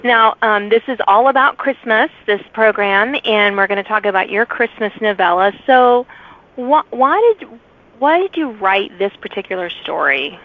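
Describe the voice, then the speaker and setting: A woman's voice: medium pitch, animated, normal speed. A woman hosting a casual author interview in a chatty, agreeable manner. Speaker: podcast host. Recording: phone-like radio-sounding line.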